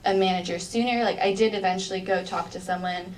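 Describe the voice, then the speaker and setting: A woman's voice: mid-range voice, steady tone, quick pace. A young adult woman, a college student, answering questions politely and earnestly in a mock job interview, with frequent ums and likes. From United States. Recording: distant mic with faint background noise.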